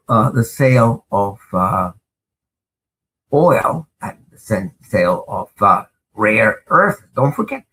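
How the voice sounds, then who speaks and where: Measured older man, Spanish native, halting. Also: mid-range voice, fairly bright tone, a little hiss or hum, rough recording. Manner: measured, frequent "uh" pauses, emphatic. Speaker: guest, international lawyer. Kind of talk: interview answers, recounting experiences.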